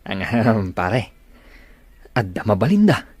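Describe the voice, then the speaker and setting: Teasing best pal, male actor, encouraging, very lively intonation, dry radio-style drama sound. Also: on the low side, unhurried, no echo. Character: teasing, encouraging male buddy of a young farmer.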